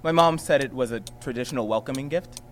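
An actress playing an eager, awkward young boarding house helper in an audio drama, bubbly and rambling. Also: female voice, very deep voice, fast, slight background noise. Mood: playful, sheepish. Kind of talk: naturalistic audio drama acting.

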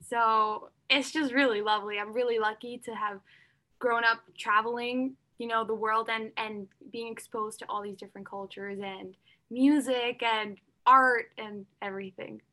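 A woman's voice: on the high side, fairly even intonation, moderate pace, bright, forceful tone. A young woman singer-songwriter answering interview questions, earnest and open, often saying "like" and "you know". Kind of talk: interview conversation.

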